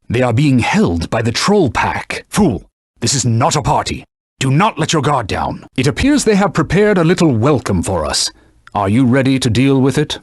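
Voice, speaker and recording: A man's voice: on the high side, breathy edge, no echo, studio quality, full character voice. A man voicing a stern, haughty talking sword in a video game, a cautious battle mentor giving formal, scolding commands. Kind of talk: theatrical video game voice acting.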